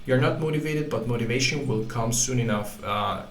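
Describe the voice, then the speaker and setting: A man hosting a language-learning channel, talking casually to camera in halting English with many restarts. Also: medium pitch. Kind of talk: casual talk to camera, reading quotes aloud. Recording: echoey room with faint ambient noise.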